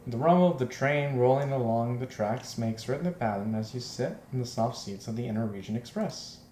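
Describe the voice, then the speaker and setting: A man narrates a visual novel as a let's player in a roomy, echoey space with faint noise behind him. His pitch is average, and he mixes storybook reading with loud, repeated exclamations as joking reactions. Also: fairly quick.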